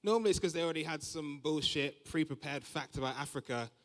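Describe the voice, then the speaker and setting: Higher-voiced London stand-up man in a hall. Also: fast. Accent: London English. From Southeast London, England. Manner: conversational, acts out quoted remarks. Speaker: stand-up comedian. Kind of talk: live stand-up comedy, observational anecdotes. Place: large comedy venue, on stage. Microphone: stage microphone. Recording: reverberant hall, live stage microphone.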